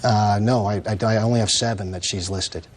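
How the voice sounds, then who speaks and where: Hesitant low-voiced man defendant. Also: steady tone, moderate pace. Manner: hesitant, full of uh, short answers. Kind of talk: courtroom testimony. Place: TV courtroom set.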